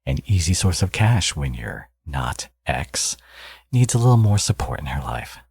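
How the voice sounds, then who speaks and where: A man playing a smug, flirtatious villain in an intimate ASMR audio roleplay, teasing and coaxing, with a gravelly, husky voice. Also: very deep voice, very animated, measured pace, darkish tone, heavily acted. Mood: playful, doting.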